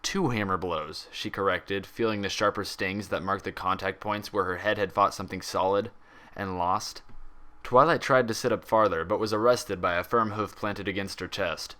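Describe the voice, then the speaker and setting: Guy reading fan fiction, lowish voice but really bright and crisp edged, dramatic narration. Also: steady tone, normal speed. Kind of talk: expressive scripted story narration.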